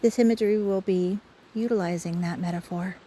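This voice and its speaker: A woman leading a guided imagery session in a soothing, measured way with frequent pauses, recorded outdoors on a phone. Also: mid-range voice, steady tone, warm tone. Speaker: guided imagery host and soul coach. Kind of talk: guided meditation talk. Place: outdoors by a river. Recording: faint outdoor ambient noise. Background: faint river ambience.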